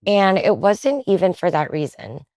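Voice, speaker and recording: A woman's voice: mid-range voice, measured pace, dry recording, clean studio sound. A woman in her twenties from the Philippines, a guest chatting casually in an interview with lots of fillers and easy laughter. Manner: relaxed, chatty, laughs often, many fillers.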